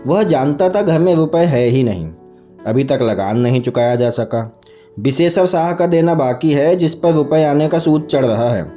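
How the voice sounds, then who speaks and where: A man narrating a literary story as a scripted audiobook reading. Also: mid-range voice. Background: soft music.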